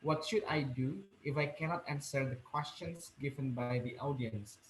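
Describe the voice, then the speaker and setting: A man moderating an online public speaking session and reading out questions, speaking haltingly and repeating words. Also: mid-range voice, reverberant, slight background noise, low-quality recording. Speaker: webinar moderator. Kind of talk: hesitant bilingual moderating.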